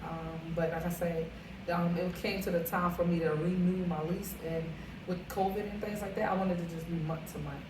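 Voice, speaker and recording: Woman guest, caterer, rambling casual talk; lowish voice, roomy, noisy, off-mic recording. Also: flat delivery, normal speed, far from the mic. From Philadelphia. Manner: chatty, rambling, with many fillers. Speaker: caterer and realtor, interview guest. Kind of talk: casual interview conversation. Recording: echoey room, off-mic, noisy.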